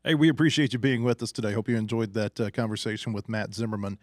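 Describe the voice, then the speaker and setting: A man's voice: lowish voice, steady tone, fast, a little hiss or hum. A man hosting a sports podcast and putting questions to a guest in a conversational way. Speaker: podcast host and interviewer.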